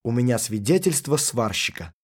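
A man reading out translated phrases one at a time for a language lesson, in a careful, measured way. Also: medium pitch, big pitch swings. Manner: gives each phrase as a careful spoken translation. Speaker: translation reader.

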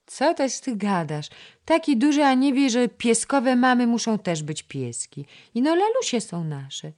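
A little girl chatters away in a high, sing-song voice, wheedling and eager to play. The voice leaps about brightly and never settles, sweet and insistent in a storybook way. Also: measured pace. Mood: playful. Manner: high, lively, coaxing childlike voice. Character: chatty little girl, a playful young princess's ward.

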